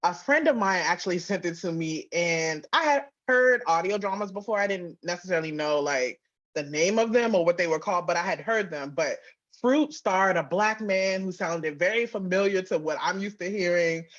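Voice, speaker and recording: A woman's voice: fairly low pitch, normal speed. Chatty woman, slightly dull audio. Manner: animated, informal, frequent "like". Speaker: guest, audio drama writer and producer. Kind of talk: casual interview chat.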